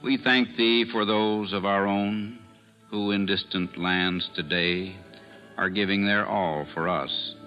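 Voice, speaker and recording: A man's voice: on the low side, steady tone, takes it slowly, soft background music. A minister, a man, speaking a solemn prayer on an old radio broadcast. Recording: dull old radio recording.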